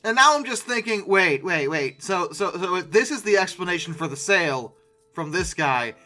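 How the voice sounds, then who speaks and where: An incredulous, sarcastic host giving casual reaction commentary, with emphatic delivery and dramatic pauses. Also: mid-range voice, lively intonation, pushed and bright. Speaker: host of a paleoart reaction video. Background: music.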